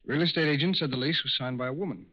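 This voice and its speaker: An actor playing a shrewd, businesslike federal agent with a clipped, matter-of-fact delivery in a 1940s radio drama. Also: male voice, mid-range voice, very fast, slightly rough, lightly acted. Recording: muffled 1940s radio recording.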